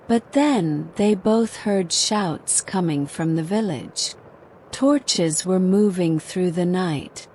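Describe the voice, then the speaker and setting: Woman folktale narrator: slow, dramatic, scripted storytelling with noticeable background ambience. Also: on the low side, warm tone, slight background noise.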